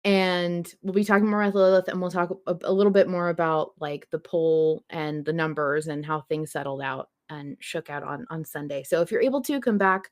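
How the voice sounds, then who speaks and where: A woman hosting a fan podcast, chatting casually in an animated, joking and sweary manner. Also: sits low, brisk, hardly any pauses. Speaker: podcast host.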